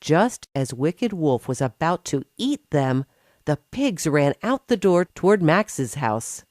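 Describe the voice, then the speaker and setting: A woman reads a children's story in a low voice. Her delivery is very slow and richly melodic, and the recording is dry and close. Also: very animated, a little mellow, no room sound. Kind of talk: scripted children's story narration.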